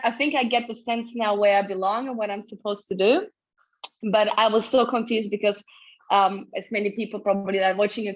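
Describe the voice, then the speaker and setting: Guest spot: Ukrainian-accented woman, effusive storyteller, slightly high voice, muffled call audio. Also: fairly bright tone. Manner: effusive, multi-passionate storytelling with laughs. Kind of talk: casual video interview.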